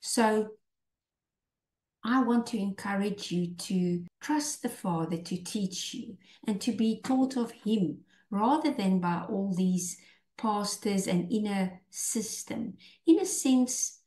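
A woman in her fifties teaching the Bible in an earnest, unhurried way, pausing and restarting often. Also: medium pitch, dark tone. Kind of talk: unscripted Bible teaching over shared screen. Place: small indoor room. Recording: echoey room.

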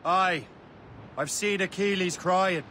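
A man's voice: high voice, takes it slowly, sharp tone, slight background noise, full character voice. A man voicing a young, doubtful, restless assassin in a video game. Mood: frustrated. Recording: game audio with music behind.

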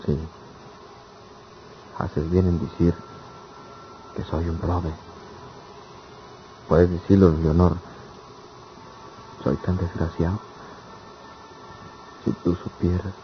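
Deep-voiced, lovelorn young country man. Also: little pitch movement, drawn-out words, pauses often, full character voice. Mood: sorrowful. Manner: plaintive, rustic speech. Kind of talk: radionovela acting. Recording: dull, noisy old radio drama recording.

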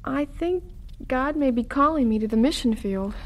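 Earnest young daughter, higher voice. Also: female voice, expressive, normal speed. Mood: hesitant. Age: young adult. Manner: hesitant, sincere. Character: earnest, devout young woman, hesitant and dutiful.

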